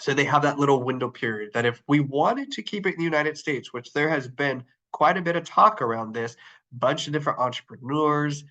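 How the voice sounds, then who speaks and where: A man's voice: a little high, fairly quick, bright, forceful tone, lo-fi sound. A man with a nasal, flu-congested voice leading an online training session, talking with plenty of ums and uhs as he clicks through his screen. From Arizona. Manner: upbeat, filler-heavy, talking while clicking through screens.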